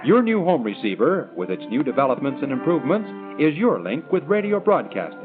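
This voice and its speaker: A man's voice: mid-range voice, sing-song, a little fast, music in the background. A man narrating a 1940s promotional film with a polished, declamatory announcer's delivery. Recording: tinny 1940s film soundtrack.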